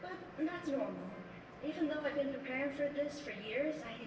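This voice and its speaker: A woman's voice: sits high, brisk, lightly acted. A woman playing an anxious teenage pony princess in a cartoon audio drama, earnest and fretful. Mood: eager, nervous. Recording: echoey, distant playback with loud noise.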